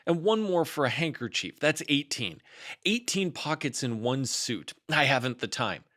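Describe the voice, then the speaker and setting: A man's voice: medium pitch, sing-song, moderate pace, fairly bright tone, pitched above the performer's own voice. A man voicing a bossy, self-important storybook rabbit with an officious, lecturing manner. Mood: indignant.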